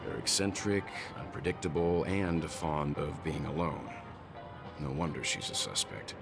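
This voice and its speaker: A low-voiced, composed middle-aged gentleman from a story game. He is courteous and thoughtful, speaking in a measured way over clearly audible music. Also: lively intonation, heavily acted. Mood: pensive. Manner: measured, polite, reflective. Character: calm, courteous, thoughtful middle-aged male crew member. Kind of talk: video game story dialogue acting.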